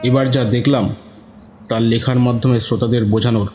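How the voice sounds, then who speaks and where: A man's voice: mid-range voice, fairly even intonation, brisk, background noise. Male horror narrator in a roomy sound. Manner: dramatic, suspenseful. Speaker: first-person narrator. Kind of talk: scripted horror story narration. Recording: roomy, muffled audio with music.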